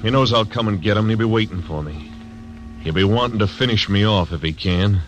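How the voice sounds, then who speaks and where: Actor as a low-voiced laconic marshal. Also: male voice, a little fast, lo-fi sound, a little hiss or hum, natural voice. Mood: steely. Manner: laconic, clipped. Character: grim steady male frontier marshal. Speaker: narrator. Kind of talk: 1940s radio acting.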